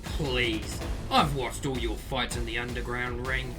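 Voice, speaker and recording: A man's voice: medium pitch, steady tone, measured pace, close to an everyday voice. A man playing a cocky, sadistic teenage boy in a visual novel, with a taunting, theatrical delivery. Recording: music behind the voice. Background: music.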